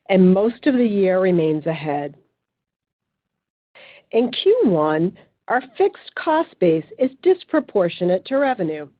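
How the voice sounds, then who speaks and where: A woman's voice: mid-range voice, dry recording. A woman speaking as chief financial officer, reading a scripted financial report in a formal, businesslike manner. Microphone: phone conference line. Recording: tinny, narrow phone conference line.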